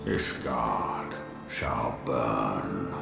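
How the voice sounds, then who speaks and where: An actor voicing an ancient, colossal dragon in a video game, proud and menacing, with a booming gravelly voice and archaic, imperious speech. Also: male voice, very slow, exaggerated voice. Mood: menacing, vengeful. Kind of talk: theatrical video game voice acting. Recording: cavernous echo, distant, loud music.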